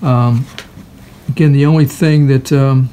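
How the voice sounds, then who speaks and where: A man giving a casual, hands-on product walkthrough in a halting, hesitant way. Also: medium pitch, fairly even intonation, warm tone, a little hiss or hum. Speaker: product demonstrator.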